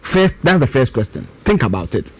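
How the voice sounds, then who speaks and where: A man's voice: medium pitch, sing-song, normal speed, a little mellow, slightly rough. A Ghanaian man in his thirties giving emphatic, argumentative budget commentary on a talk show, with rhetorical questions and repetition. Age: 30s. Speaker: guest political commentator.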